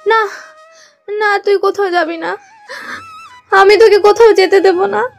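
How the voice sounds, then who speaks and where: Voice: very high-pitched, steady tone, a little slow, vocal fry, a little hiss or hum, full character voice. A performer voicing a frantic, possessive, grieving village mother in a cartoon, pleading tearfully and repeating herself. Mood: anguished. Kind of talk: cartoon melodrama acting.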